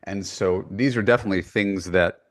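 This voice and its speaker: Low-voiced man hosting a podcast. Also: fairly quick. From United States. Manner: chatty, self-deprecating, many fillers and restarts. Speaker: podcast host and dating coach. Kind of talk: conversational podcast intro and coaching.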